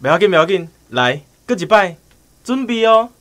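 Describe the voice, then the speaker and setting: A man playing a smooth-talking, jovial travelling photographer in a radio drama, with a Taiwanese accent. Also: sits high, very animated, moderate pace, fairly bright tone. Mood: cheerful, directing.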